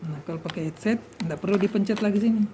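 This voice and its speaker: A woman's voice: mid-range voice, fast. A woman giving an informal hands-on product demonstration, instructing in short step-by-step phrases. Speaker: walkie-talkie seller demonstrating to a customer. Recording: noisy on-location sound, clear background noise.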